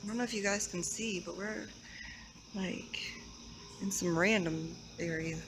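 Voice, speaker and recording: A woman's voice: medium pitch, a little slow. A young American woman vlogging casually outdoors at night, talking into a handheld camera. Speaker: paranormal vlogger. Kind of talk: casual vlog narration and chat. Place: outdoors at night around a train station. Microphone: handheld camera microphone. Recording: noisy outdoor night recording with insects.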